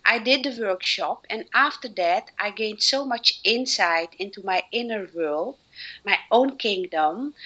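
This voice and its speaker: A middle-aged woman from Suriname whose first language is Dutch, speaking as a guest on personal development and pausing often to find her words. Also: mid-range voice, bright, forceful tone, poor audio. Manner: earnest, with frequent fillers and restarts. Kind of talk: interview answers.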